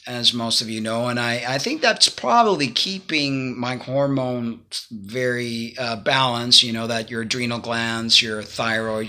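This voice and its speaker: A man's voice: medium pitch, bright, forceful tone. A man in his fifties talking casually to camera in his living room, rambling and earnest as he gives advice. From Cuba. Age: 50s. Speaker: vlogger. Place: small home living room, indoors. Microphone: phone or laptop camera at arm's length.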